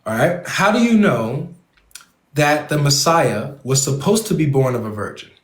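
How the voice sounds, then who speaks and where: A man hosting a live online debate, leaning on one point and circling back to it with question after question. His voice sits a little high for a man and rings in an echoey room. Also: very animated, normal speed, slight background noise. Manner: probing, presses a point with repeated questions. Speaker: debate host.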